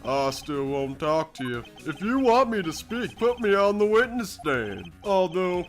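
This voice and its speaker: High-pitched pompous corporate boss. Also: male voice, very animated, a little slow. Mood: smug, evasive. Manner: grandiose, affected wording, condescending. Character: pompous, arrogant male corporate boss, smug and theatrical. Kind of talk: theatrical video game fandub acting. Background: music.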